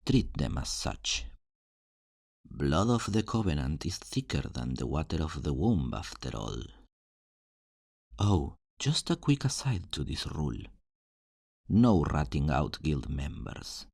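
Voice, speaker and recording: A man acting a deep-voiced, roguish fantasy thief. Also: sing-song, slow, pauses often, a little mellow, no room sound, studio quality, heavily acted. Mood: smooth instructing. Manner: flirtatious, smooth-talking, theatrical, teasing. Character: charming, roguish fantasy thief recruiting for his guild. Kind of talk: ASMR roleplay, scripted character acting.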